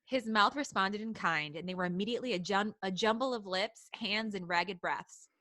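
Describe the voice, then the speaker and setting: Bright-voiced giggly woman reading aloud. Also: mid-range voice, moderate pace, no room sound. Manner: reads erotic fan fiction aloud, breaks into giggles. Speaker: podcast host reading fan fiction. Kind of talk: live comedic reading and banter.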